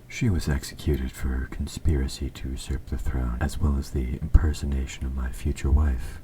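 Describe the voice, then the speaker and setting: A man playing an evil, possessive king in an audio roleplay, speaking formally and with measured, quiet menace, his S sounds sharp. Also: very deep voice, slight background noise. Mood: quietly menacing. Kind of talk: scripted audio roleplay, intimate dramatic monologue.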